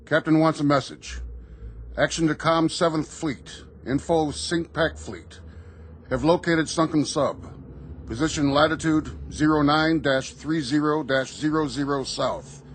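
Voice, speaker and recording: A man playing a crisp, obedient Navy communications officer in a radio drama, formal and procedural. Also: fairly high pitch, fairly even intonation, a little slow, slight background noise, close to an everyday voice. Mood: crisp, businesslike. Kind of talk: radio theatre acting.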